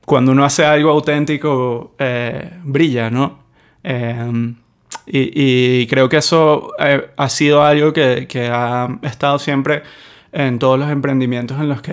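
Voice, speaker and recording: Man, interview host, halting, filler-heavy, long rambling questions. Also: medium pitch, smooth. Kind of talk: conversational interview.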